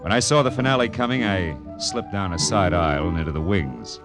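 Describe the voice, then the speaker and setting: An actor playing a world-weary, wisecracking private detective in hard-boiled 1940s radio drama, wry and clipped. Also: male voice, sits low, brisk, over music, close to an everyday voice. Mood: wry narrating. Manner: wry, clipped, tough-talking.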